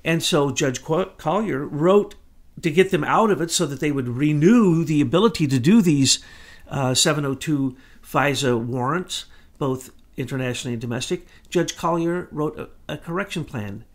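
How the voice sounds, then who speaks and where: A middle-aged man co-hosting a political commentary talk, talking fast and insistently. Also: fairly high pitch, animated. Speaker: co-host.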